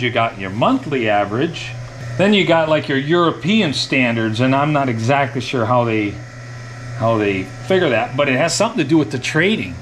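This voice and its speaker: A man's voice: mid-range voice, a bit bright, slight background noise. Plain-spoken male dairy farmer chatting. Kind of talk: casual explanatory chat. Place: small tiled milk room with steel tank, indoors.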